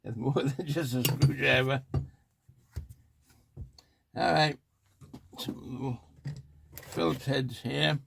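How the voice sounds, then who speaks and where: A man's voice: mid-range voice, steady tone, takes it slowly, pauses often, a bit bright. A man giving casual hands-on commentary as he works, muttering to himself in short fragments on an amateur recording. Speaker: hobby video host. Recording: clearly noisy amateur recording with clicks.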